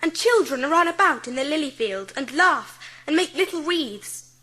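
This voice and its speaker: Composed, kind little schoolgirl, played by a woman, high bright voice, earnest and polite, storybook drama. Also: expressive, normal speed. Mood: tender, dreamy. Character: kind, composed, imaginative seven-year-old schoolgirl. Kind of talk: dramatised audiobook acting.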